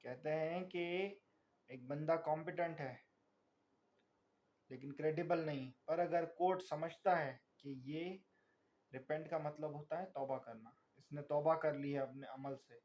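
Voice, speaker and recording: A man with a Pakistani accent lecturing as a tutor, reading formal text and explaining it in a deliberate, didactic way. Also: fairly high pitch, fairly even intonation, darkish tone. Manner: deliberate, didactic, repeatedly checking understanding. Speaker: law tutor.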